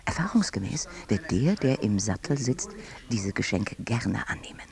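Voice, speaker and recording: Hushed, lively ceremonial co-commentator. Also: male voice, on the low side, moderate pace, creaky. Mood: hushed reporting. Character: awestruck co-commentator reporting a state ceremony. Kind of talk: live event commentary in a radio play.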